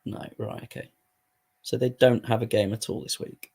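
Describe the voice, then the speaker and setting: A hoarse man giving casual, sweary commentary while playing a football management game. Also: lowish voice, fairly even intonation, normal speed, a little mellow. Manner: swears freely, muttering asides while playing. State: hoarse, voice going. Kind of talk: casual live gaming commentary.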